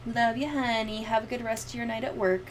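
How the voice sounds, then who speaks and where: A woman livestreamer chatting casually, rambling and thinking out loud as she goes. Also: on the high side, little pitch movement, fairly quick, slight background noise.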